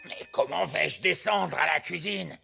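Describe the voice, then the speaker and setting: Low, creaky, stately cartoon queen. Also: measured pace, music in the background. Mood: puzzled, commanding. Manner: stately, imperious. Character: regal, flustered adult queen, grand and out of her depth. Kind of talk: animated cartoon dub.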